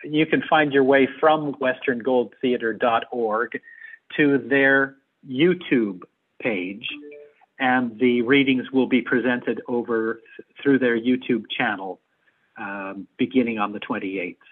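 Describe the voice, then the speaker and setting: A man's voice: mid-range voice, fairly even intonation, slow pace. A man talking as a guest in a conversational interview, on thin, phone-like call audio. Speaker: interview guest, theater adapter.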